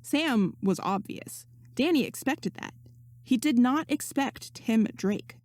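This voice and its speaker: A woman reading fan fiction aloud as narrator, in an expressive audiobook style. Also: a little high, slow pace, no room sound, clean studio sound. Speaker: podfic narrator.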